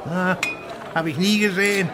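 A man's voice: high-pitched, steady tone, moderate pace, noisy background, natural voice. A male actor playing a jokey rustic donkey driver in a German radio play, bantering with his companions.

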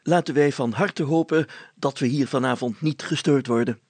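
Man doing a proud storybook mayor, raised pitch, grandly formal, declamatory, husky. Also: normal speed, darkish tone, dry recording, close to an everyday voice. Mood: anxious. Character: pompous, proud village mayor, secretly a poet. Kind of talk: acted storybook dialogue.

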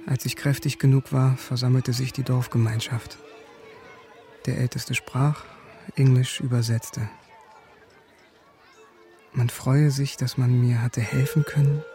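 A man playing a war-worn, stoic sergeant in a radio drama, telling his story in terse, measured, sober words. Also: medium pitch, level tone, mellow, close to an everyday voice. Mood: sombre, restrained. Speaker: defendant and narrator. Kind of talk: German radio drama acting, first-person recollection.

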